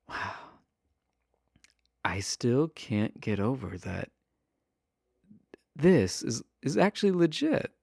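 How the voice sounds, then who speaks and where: Low, slow, shyly stammering villain played by a man. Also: gravelly, a little mellow, no echo, clean studio sound, full character voice. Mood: shyly amazed. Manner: hesitant, stammering, awkwardly earnest. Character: shy, adorkable imprisoned villain, friendly and earnest. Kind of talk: romantic roleplay audio, spoken to the listener.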